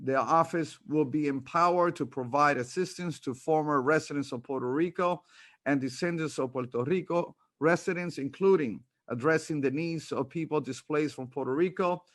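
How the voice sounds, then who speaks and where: A man's voice: on the high side, normal speed, dry recording, clean studio sound. A man from the Bronx chairing a city council committee and reading a formal opening statement on a remote video hearing, with occasional restarts. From New York City, Bronx. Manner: reads a prepared speech with occasional restarts. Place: remote hearing from home or office. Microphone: computer mic on video call.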